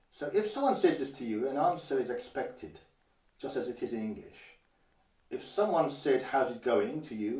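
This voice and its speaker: An older man from Guernsey teaches greetings patiently, pausing to say each phrase slowly and clearly. His voice is mid-pitched and sits back from the mic. A roomy echo surrounds him. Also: a little mellow, distant mic. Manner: measured, careful, slows down to model phrases. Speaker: language teacher. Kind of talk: instructional explanation.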